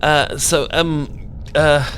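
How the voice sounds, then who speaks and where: A man's voice: on the high side, steady tone, extremely slow, fairly bright tone, slight background noise. A man playing a stammering bookish British guy. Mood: nervous. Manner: stammering, rambling, flustered. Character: nervous bookish British man, awkward and endearing. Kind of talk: ASMR romance roleplay.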